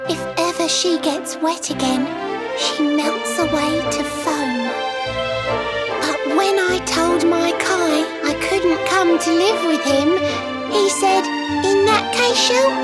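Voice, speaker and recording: An actress voicing a sweet, earnest, lovelorn mermaid who speaks theatrically in sing-song rhyming verse in a video game. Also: female voice, extremely high pitch. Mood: wistful, then proud, loving. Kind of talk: video game cutscene acting. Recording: loud music behind. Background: loud game music.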